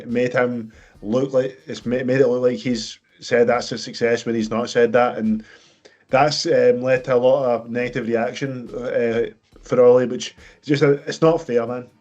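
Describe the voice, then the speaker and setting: Male podcast co-host, mid-pitched, halting delivery, muffled lo-fi recording. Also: little pitch movement, darkish tone. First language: English. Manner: halting, repeats words as he builds a point. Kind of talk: casual football podcast chat.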